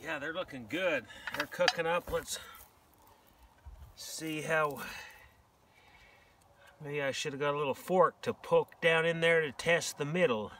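A middle-aged man hosting a casual cooking demonstration, talking plainly and relaxed while he works with his hands, first in a kitchen, then in an open field. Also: a little high, a little slow, long pauses, far from the mic, slight background noise. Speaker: outdoor cooking host. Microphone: camera mic at a distance.

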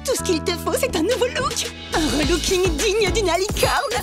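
A fussy, glamorous cartoon pony stylist. The voice is very high and swoops dramatically, effusive all the way, over clear music. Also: female voice, lively intonation, moderate pace. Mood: enthusiastic. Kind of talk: French animated cartoon dub.

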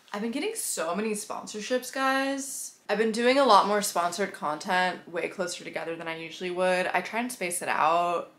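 Nasal, apologetic woman vlogging from her bedroom. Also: medium pitch. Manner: apologetic and rambling, animated hand gestures. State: sick, a bit nasal. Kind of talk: casual vlog, talking to camera. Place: bedroom with couch, indoors. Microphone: camera mic at arm's length.

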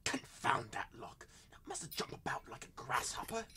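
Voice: mid-range voice, huge pitch swings, moderate pace, bright, forceful tone, whispered. An actor playing a drunken, cruel and lecherous squire, blustering, taunting and leering in old-fashioned radio drama style.

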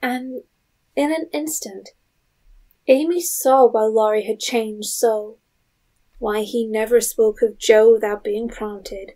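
A woman's voice: a little high, steady tone, slow, warm tone, low-quality recording. A woman narrating an audio drama in a scripted storytelling style. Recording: music underneath.

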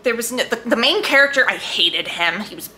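Woman doing a chatty book vlog, super expressive pitch, gushy and emphatic, some noise behind her. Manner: enthusiastic, gushing with emphatic drawn-out words. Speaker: book vlogger and host. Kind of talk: casual chat to camera about books.

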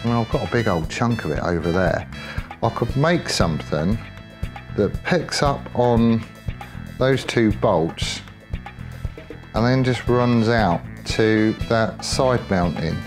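A man with an English accent giving casual, off-the-cuff workshop commentary as a motorcycle builder, chatty and colloquial, thinking out loud. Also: on the low side, animated, slow, soft background music.

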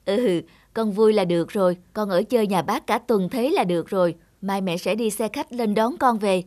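A woman voicing a gentle, lonely village mother in a children's cartoon, speaking softly and affectionately. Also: mid-range voice, normal speed, no room sound, natural voice. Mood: fond. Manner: soft, patient, affectionate. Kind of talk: dubbed children's cartoon dialogue. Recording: music behind the voice.

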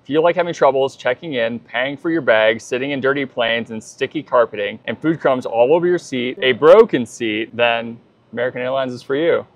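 A man's voice: medium pitch, fairly quick. A man in his thirties co-hosting a travel vlog, chatting casually and reading reviews aloud, on a lapel mic in a big airport terminal. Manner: easygoing, chatty. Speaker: travel vlogger co-host. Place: large airport terminal, indoors. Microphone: clip-on lapel mic.

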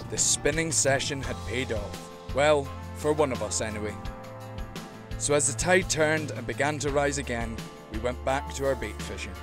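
A man's voice: medium pitch, normal speed. A man with a Scottish accent giving scripted voice-over narration as a fishing guide and channel host, with dry, self-deprecating humor. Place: voice-over, not recorded on the shore. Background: guitar music.

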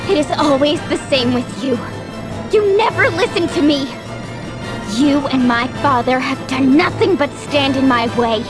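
Defiant young woman for a fantasy game: high voice, indignant, forceful delivery. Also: measured pace, over music. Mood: exasperated. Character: defiant, headstrong young female rebel. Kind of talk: dramatic video game voice acting.

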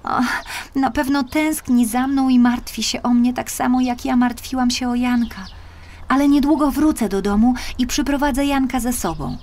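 Anxious young fairy-tale girl, played by a woman narrator: high, earnest, emphatic, wondering. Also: moderate pace, darkish tone. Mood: wistful. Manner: pleading, wondering, raised and emphatic.

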